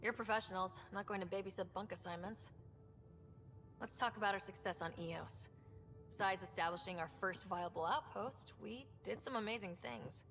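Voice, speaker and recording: An actress playing a composed, firm young explorer leader in a video game cutscene. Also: female voice, normal speed, a bit bright, soft background music, close to an everyday voice. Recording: slight reverb, faint rumbling noise.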